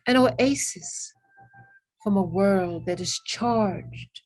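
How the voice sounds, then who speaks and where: A woman with a mid-pitched voice leads a guided meditation. She speaks extremely slowly and gently, setting down short phrases with long pauses between them. Her delivery is soothing and reverent, in an ASMR-like meditative style.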